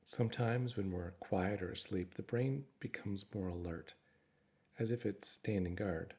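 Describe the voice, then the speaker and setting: A man leads a guided meditation in a low voice with a lot of creak and gravel in it. He gives gentle instructions and speaks reassuringly, like a guide walking someone through calming exercises. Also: measured pace.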